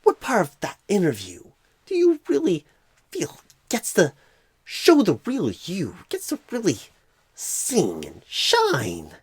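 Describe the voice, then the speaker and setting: Clueless recruiter, played by a man, ASMR roleplay: slow, husky, rambling, odd pauses and stresses. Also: high-pitched, huge pitch swings, no room sound, heavily acted. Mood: probing, rambling. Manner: halting phrasing with odd pauses and swooping stresses.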